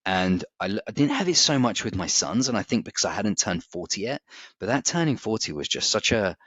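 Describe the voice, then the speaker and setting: A man's voice: lowish voice, big pitch swings, fairly quick, a bit bright, no echo. A man, talking candidly and reflectively as a podcast co-host, laughing easily. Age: 40s. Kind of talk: casual chat. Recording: dry, slightly dull audio.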